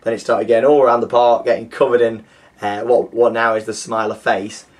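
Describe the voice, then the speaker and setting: A young man hosting a theme park news show, his voice groggy and hoarse, chatting enthusiastically with plenty of fillers. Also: mid-range voice, level tone, fairly quick. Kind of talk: casual scripted news presenting.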